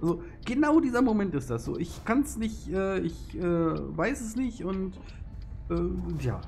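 A man's voice: high-pitched, sing-song, measured pace, creaky, a little mellow, soft background music. A man giving rambling, filler-heavy gameplay commentary as a let's play host. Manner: chatty, filler-heavy, self-deprecating.

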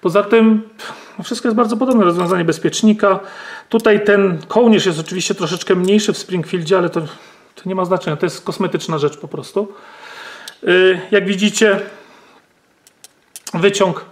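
A man's voice: high voice, normal speed, long pauses. A man, demonstrating and explaining a rifle step by step, with many fillers and tag questions. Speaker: gun channel host. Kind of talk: hands-on firearm demonstration.

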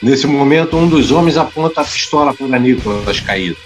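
A man's voice: mid-range voice, animated, fairly quick, soft background music. A man reading scripted narration for an audio drama, describing scenes as narrator.

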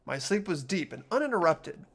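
Animated man hosting a game playthrough. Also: high voice, fairly quick. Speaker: playthrough host and narrator. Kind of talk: game narration and casual chat.

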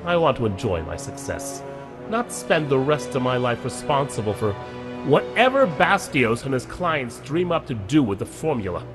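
A man's voice: mid-range voice, big pitch swings, moderate pace, over music, close to an everyday voice. A man playing an indignant veteran scientist. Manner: indignant, clipped. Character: proud middle-aged male scientist.

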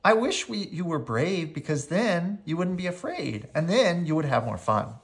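Woman reading as an animated little girl storybook heroine. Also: fairly low pitch, normal speed, pitched above the performer's own voice. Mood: earnest. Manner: exclaiming, expressive. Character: excitable young girl storybook heroine, bold and bossy. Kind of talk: acted children's storybook reading.

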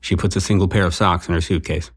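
An actor playing a dry, matter-of-fact narrator of scenes in an audio drama. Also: male voice, low-pitched, steady tone, fast, husky.

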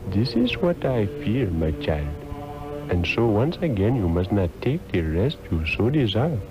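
An actor playing an aged, gentle master sorcerer, measured and fatherly, in a 1960s radio drama. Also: lowish voice, big pitch swings. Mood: grave, fatherly. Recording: dull 1960s radio recording, music.

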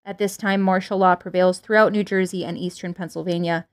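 A woman playing a grave radio news announcer in a drama, reading urgent bulletins in a formal, measured way. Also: on the low side, fairly even intonation, natural voice. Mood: grave, solemn. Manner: formal, measured, serious delivery.

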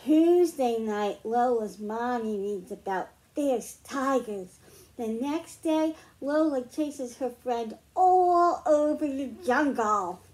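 A middle-aged woman reading picture books aloud to young children, explaining slowly and clearly at home. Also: a little high, lively intonation, darkish tone, poor audio. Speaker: children's librarian. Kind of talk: storytime read-aloud for kids.

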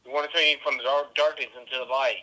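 A man's voice: mid-range voice, steady tone, racing, a crowd in the background. A man calling in over a phone line, defending himself insistently and repeating his points. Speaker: caller, church deacon.

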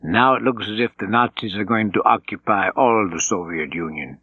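A man's voice: lowish voice, moderate pace, breathy edge, no room sound. A man voicing an urgent, earnest, weary eighteen-year-old resistance fighter in an audiobook. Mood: bleak. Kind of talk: audiobook character dialogue. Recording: dull, slightly lo-fi tape recording.

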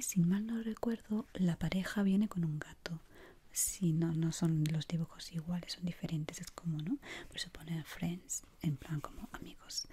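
Spanish woman from spain whispering asmr shop roleplay, breathy n gentle, lowish mellow voice, some noise behind. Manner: soft, gentle, soothing, many diminutives. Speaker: ASMR creator playing a shoe shop assistant. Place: small indoor room. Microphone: close microphone. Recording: close mic with clear background noise.

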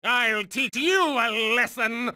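An actor voicing a megalomaniac mutant genius supervillain in a video game, condescending, mocking and theatrical like a cruel schoolmaster. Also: male voice, very high voice, slow, pushed and bright, no echo, studio quality, heavily acted. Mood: mocking, indignant. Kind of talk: theatrical cartoonish game voice acting.

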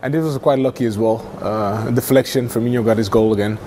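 Halting mellow-voiced male football pundit. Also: medium pitch, fairly even intonation, slight background noise. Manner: halting, many fillers and restarts. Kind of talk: studio match analysis. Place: TV studio.

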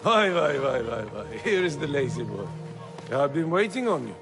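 Tired, fatherly older middleman for a game cutscene; gravelly, deliberate warnings. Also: fairly high pitch, sing-song, over music. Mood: teasing. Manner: admonishing, deliberate. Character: weary, cautious older fixer, gruffly fatherly. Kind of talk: video game cutscene acting.

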